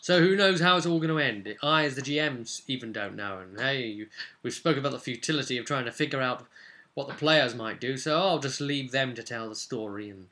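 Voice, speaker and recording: A man with a bright, forceful voice at a middling pitch talks casually as a game master. He recaps a tabletop role-play session like an animated storyteller, repeating phrases to drive points home. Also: fairly quick. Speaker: game master and vidcast host.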